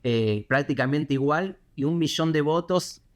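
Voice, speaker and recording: A man with a Buenos Aires accent, a correspondent answering interview questions in a reflective, halting way with many fillers and restarts. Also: a little high. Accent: Buenos Aires Spanish. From Argentina.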